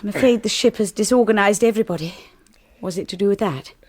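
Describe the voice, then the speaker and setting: An actress playing a timid, anxious young wife in a radio drama, polite, hesitant and nervous. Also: female voice, mid-range voice, fast, darkish tone, natural voice. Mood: polite unease.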